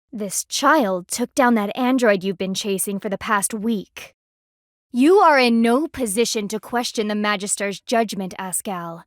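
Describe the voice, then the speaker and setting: A woman's voice: medium pitch, no echo, studio quality, natural voice. An actress voicing a stern, haughty female panda palace enforcer in a video game, formal, commanding and disdainful.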